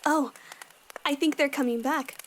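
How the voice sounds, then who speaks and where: A woman playing a gentle but firm young warrior, sincere and a little deadpan, in a fan audio drama. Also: high voice, unhurried, slight background noise.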